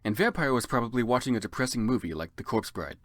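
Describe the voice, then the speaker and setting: A man's voice: mid-range voice, animated, fast talker, a bit bright, no room sound, studio quality. A man narrating a story theatrically for comedy, with sardonic asides as commentator. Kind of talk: dramatic comic reading with dry asides.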